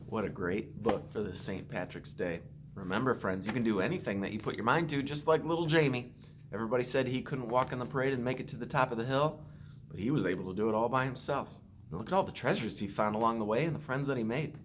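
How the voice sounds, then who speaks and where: A man who is a school principal reads a picture book aloud to children with expressive, simple storytelling phrasing. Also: medium pitch, fast, a little hiss or hum. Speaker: school principal reading to pupils.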